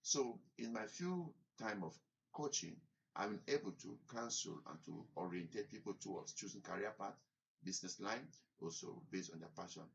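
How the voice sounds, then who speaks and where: A man with a Cameroonian accent giving a prepared motivational talk as a career coach, in deliberate, emphatic phrases. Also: low-pitched, expressive, vocal fry, lo-fi sound. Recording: distant mic with background noise.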